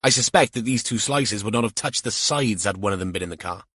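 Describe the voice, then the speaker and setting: A man's voice: fairly low pitch, fairly quick, fairly bright tone, slightly rough, no room sound, studio quality. A man voicing an earnest, rambling young male police officer in an audiobook. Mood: protesting. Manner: rambling, literal-minded, protesting.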